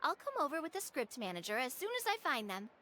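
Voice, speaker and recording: Bubbly young woman, high lively voice, giggly, game dialogue. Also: fast, heavily acted. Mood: playful. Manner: bubbly, laughs often.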